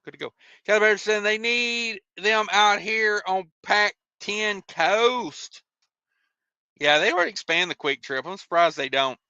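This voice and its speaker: A man's voice: high voice, measured pace, sharp tone, clean tone, no echo, muffled recording. An American man hosting a casual livestream, reading out viewer comments and replying in a folksy, rambling, colloquial way. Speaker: livestream host, truck driver.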